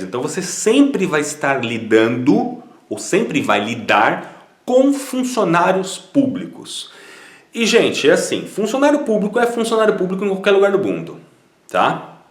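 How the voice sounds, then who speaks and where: Lively Brazilian man in a roomy space. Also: a little high. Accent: Brazilian Portuguese. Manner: animated, conversational, repeats words as he restarts. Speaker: Italian citizenship consultant. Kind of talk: casual advice vlog talking to viewers.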